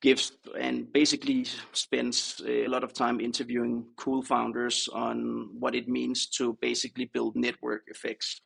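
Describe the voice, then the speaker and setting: Gravelly Danish man in casual conversation. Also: mid-range voice, monotone, unhurried, few pauses, fairly bright tone, poor audio. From Denmark. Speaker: podcast guest, startup co-founder. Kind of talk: casual interview chat.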